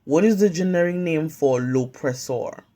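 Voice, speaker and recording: A woman tutoring pharmacy students, calmly drilling drug names in a slow question-and-pause quiz, recorded on a phone at home. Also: sits low. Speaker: pharmacy tech study tutor. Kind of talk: slow flashcard-style quiz review. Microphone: phone camera filming whiteboard.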